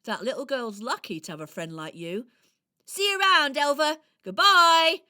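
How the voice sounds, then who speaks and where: A woman's voice: high voice, moderate pace, sharp tone. A woman playing a small, brave male robin who coaches with bouncy, emphatic encouragement in a children's radio play. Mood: warm farewell.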